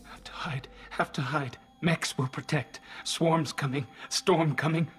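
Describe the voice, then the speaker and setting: A man's voice: on the high side, steady tone, unhurried, fairly bright tone, husky, full character voice. A man voicing a delirious, frightened young alien pilgrim in a video game, with a thin, shaky voice and broken, repetitive phrases. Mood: frightened, muttering. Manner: broken, repetitive clipped fragments.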